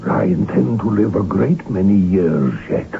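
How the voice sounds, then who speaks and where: An actor playing an imperious, easily indignant old emperor in a radio drama, gravelly and haughty. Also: male voice, lowish voice, expressive. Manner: slow, haughty, theatrical. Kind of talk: 1970s radio drama acting. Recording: tinny, noisy old radio recording.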